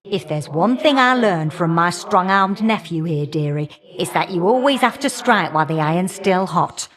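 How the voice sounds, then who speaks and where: Female actor as elder fire goddess, video game character, folksy, sassy, teasing, very animated intonation. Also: on the low side, moderate pace, lightly acted. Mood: wry, chummy.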